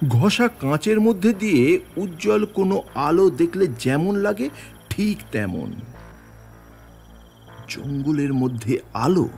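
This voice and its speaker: A man narrating a horror story in the first person, with suspenseful, theatrical, deliberate phrasing over music. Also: fairly high pitch, very animated, vocal fry, darkish tone.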